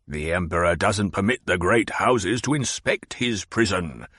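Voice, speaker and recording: A man's voice: sits low, animated, unhurried, fairly bright tone, husky, dry recording, clean studio sound, full character voice. A man voicing a fat, petulant, demanding baron who blusters and growls. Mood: blustering.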